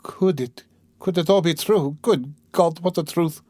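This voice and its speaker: A man's voice: medium pitch, animated, moderate pace, darkish tone, dry recording, voice pushed up, close to an everyday voice. A man voicing a distraught, timid, tender-hearted young woman in a dramatic audiobook reading, urgently questioning and pleading. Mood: horrified, pleading.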